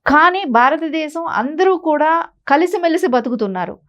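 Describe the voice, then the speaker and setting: A woman's voice: sits high, expressive, moderate pace, a bit bright, dull audio. A woman giving opinionated news commentary in an emphatic, insistent way, often using rhetorical questions. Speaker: political commentator on a news talk show.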